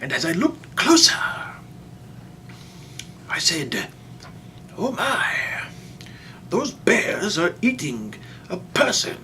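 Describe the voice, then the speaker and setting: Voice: mid-range voice, big pitch swings, bright, forceful tone, a little hiss or hum, full character voice. A performer voicing a doddering, folksy old man who rambles and stammers through a comic storytime monologue. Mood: dramatic, earnest. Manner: rambling, halting, stammering old-timer delivery. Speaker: storyteller, show host.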